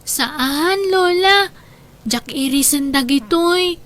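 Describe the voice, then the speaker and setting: A woman's voice: high voice, takes it slowly, a bit bright. A woman acting a distressed young granddaughter in a radio drama, earnest and protective of her grandmother. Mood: worried, earnest.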